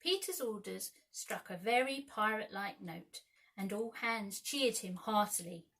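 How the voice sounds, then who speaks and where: A woman storyteller reads to children in a bright, forceful voice. Her narration is expressive and dramatic, with carefully measured phrases and an ordinary middle pitch. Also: low-quality recording. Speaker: storyteller, school staff reader.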